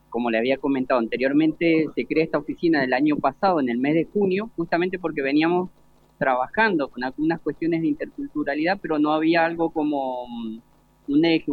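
A man's voice: on the high side, no room sound. Man, thin phone-like sound. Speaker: municipal official. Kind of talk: explanatory panel talk. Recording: thin phone-like radio broadcast.

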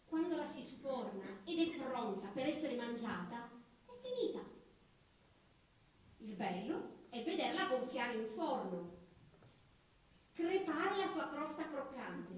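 Un'attrice che interpreta una piccola donna stramba, loquace e apprensiva, in un dialogo di radiodramma, con modi enfatici, autoritari e ripetitivi. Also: female voice, sits high, slight background noise. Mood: wistful. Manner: repetitive, emphatic, bossy. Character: talkative, fretful, quirky small woman who dreads finished things. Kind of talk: theatrical radio drama dialogue. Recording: very echoey, distant stage-like sound.

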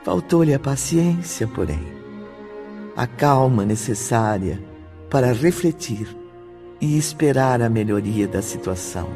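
A man's voice: mid-range voice, lively intonation, a little slow, music in the background. A man with a Brazilian accent narrating a scripted radio drama in solemn, formal diction.

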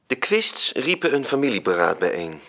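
Gravelly measured narrator. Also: male voice, medium pitch, big pitch swings, pushed and bright. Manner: measured storytelling. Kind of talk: radio play narration.

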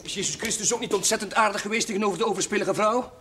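A man's voice: high-pitched, fairly even intonation, racing, bright, forceful tone, slight background noise. An actor playing a naive, well-meaning young clergyman in a television drama, guileless and questioning. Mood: earnest, defensive.

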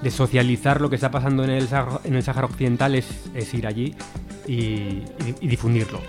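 A man's voice: mid-range voice, fairly even intonation, quick pace, music in the background. A man, an activist guest on a radio interview, speaking earnestly and often repeating words as he thinks.

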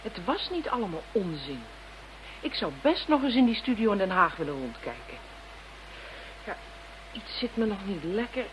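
An actress playing a confident, stubbornly independent police inspector in a radio play, brisk and lightly teasing. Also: female voice, mid-range voice, very animated, close to an everyday voice. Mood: self-assured. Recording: muffled radio play, faint hiss.

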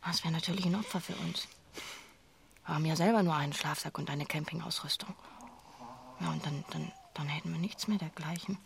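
An actress playing a middle-aged wife, an urgent, persuasive and self-righteous idealist, in a naturalistic radio drama. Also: female voice, sits low, vocal fry, slight background noise. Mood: eager, persuasive. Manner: insistent, gushing, halting repeats for emphasis.

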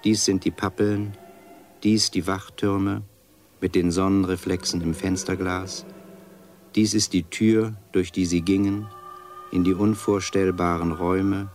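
A man gives a solemn, austere literary reading with deliberate pauses between short phrases. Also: deep voice, a little mellow, slight background noise.

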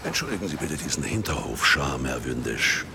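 A man playing a suave, self-assured crime boss in a radio play, with smooth, ironically courteous formality. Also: rumbling low, sing-song, brisk, over loud music, heavily acted.

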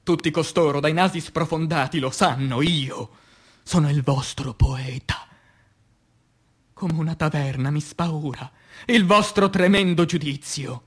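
Theatrical man reciting poetry, high-pitched. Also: unhurried, fairly bright tone. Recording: voice over music bed. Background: music.

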